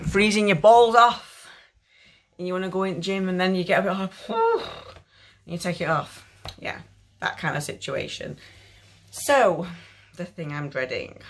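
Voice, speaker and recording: A woman in her thirties with a British accent, giving a casual, rambling try-on review with plenty of swearing. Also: fairly low pitch. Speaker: fitness clothing reviewer. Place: small bedroom at home.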